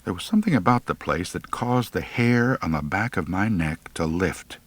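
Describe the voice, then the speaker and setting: Animated male audiobook narrator. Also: low-pitched, moderate pace, dry recording. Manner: wry, theatrical. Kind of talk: expressive humorous first-person storytelling.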